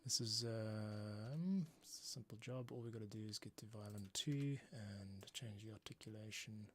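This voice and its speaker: A man thinking out loud in a halting mutter as he works through a music composing livestream. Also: on the low side, noisy background. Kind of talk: thinking aloud while working, screen-share tutorial stream. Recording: orchestral music playing over voice. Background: orchestral music playback.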